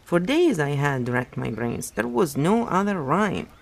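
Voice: medium pitch, very animated, unhurried, creaky. A reader voicing a mild, distracted, pedantic middle-aged male poet held in a prison cell. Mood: vague. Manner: mild, distracted, fussily precise. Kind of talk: audiobook-style reading aloud.

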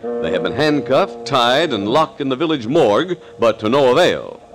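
A man delivers scripted narration in the manner of 1940s radio thrillers. His voice is medium in pitch and highly animated, with an ominous, declamatory delivery. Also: moderate pace.

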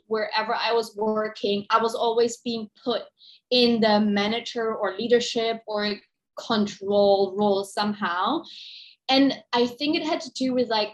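A woman's voice: fairly high pitch, rough recording. A woman from East Germany with a German accent, telling her life story as a podcast guest in a candid, rambling way with many ums. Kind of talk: casual interview chat.

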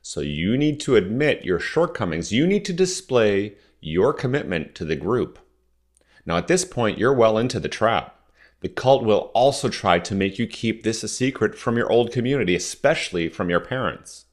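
A man in his forties with a somewhat low voice carries a solo podcast monologue. He grew up in Southern Ontario speaking French first. His delivery is measured and persuasive, often turning his points into questions. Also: sing-song. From Southern Ontario, Canada. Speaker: podcast host.

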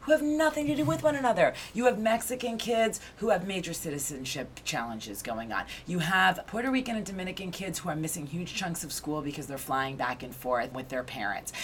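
Low-voiced woman telling a story. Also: slight background noise. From Argentina. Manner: animated storytelling with frequent restarts and "right?" tags. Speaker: guest, author and education researcher. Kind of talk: conversational interview.

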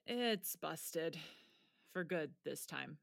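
A woman's voice: sits low, expressive, drawn-out words, creaky, no room sound, studio quality, heavily acted. A woman playing a frank, wry teenage student in a naturalistic audio drama, understated and a little hesitant. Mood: wry, resigned.

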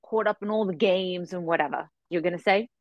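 A woman's voice: on the low side, fairly quick, no room sound, dull audio. A woman in her thirties, a podcast host chatting casually in conversational discussion. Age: 30s.

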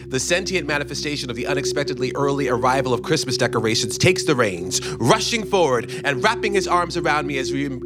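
An animated man narrates a comic audiobook in the first person. He savors his phrases with theatrical expression, and music plays clearly behind him. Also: fairly high pitch. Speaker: guest story reader. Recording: music bed under the voice.

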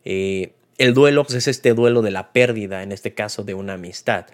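A man's voice: on the low side, moderate pace. A man with a Mexican accent gives a casual, unscripted film review in a conversational, colloquial way, like a host talking to listeners. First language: Spanish. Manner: conversational, colloquial, retells scenes with acted-out quotes.

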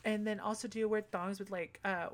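A man's voice: sits high, flat delivery, fairly quick, natural voice. A man voicing a prying woman caller who keeps firing questions over the phone. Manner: persistent, questioning.

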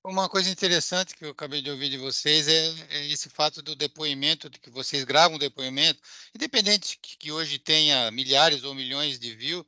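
Reflective male host with a sharp, dry sound. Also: a little high, normal speed, hardly any pauses, dry recording. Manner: reflective, thinking aloud with restarts. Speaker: podcast host. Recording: dry, muffled lo-fi audio.

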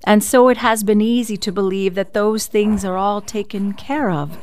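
A woman's voice: medium pitch, steady tone, moderate pace, a little mellow, slight background noise, close to an everyday voice. A woman playing a thoughtful American letter writer of the early twentieth century in a dramatic reading. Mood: reflective.

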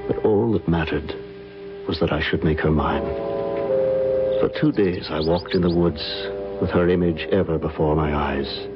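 An actor playing an ardent, insistent lover in an old-time radio drama, speaking in an earnest, florid, impassioned way. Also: male voice, rumbling low, moderate pace. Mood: brooding recollection. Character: ardent, insistent male lover recalling a haunted romance. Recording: muffled lo-fi old radio, music behind.

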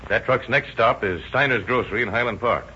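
A confident American police officer in a vintage radio crime play. His voice is bright and forceful at a middling pitch, authoritative and plain-spoken. The old recording is dull and hissy. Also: a little fast, breathy edge. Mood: alert. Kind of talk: 1930s radio drama acting.

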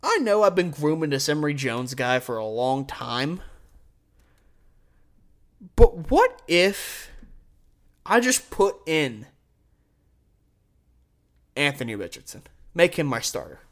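A man's voice: fairly high pitch, sing-song, slow, pauses often. An American man hosting a sports show, delivering an opinionated solo commentary in an emphatic, conversational way. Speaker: sports podcast and radio host.

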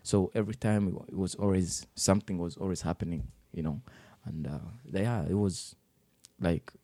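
Young man from the Congo, deep mellow voice, halting storytelling full of fillers. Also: dry recording. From Democratic Republic of the Congo, raised in Uganda. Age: 20s. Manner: halting, many fillers and restarts. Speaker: interviewee. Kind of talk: personal life story interview.

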